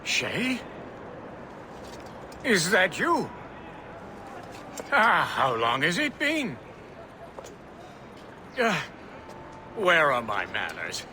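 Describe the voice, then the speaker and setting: An older American statesman in a video game, courteous and easily flustered. His voice is very bright and swings widely in pitch, at an unhurried pace. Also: wildly swooping pitch, a little hiss or hum, full character voice. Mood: flustered, grateful.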